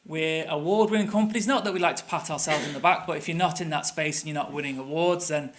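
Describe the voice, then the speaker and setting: A man's voice: on the high side, fast, fairly bright tone, slight background noise. A middle-aged man with a Manchester accent giving a conversational conference presentation, with many fillers and restarts. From England. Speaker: tour operator presenter. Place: conference room.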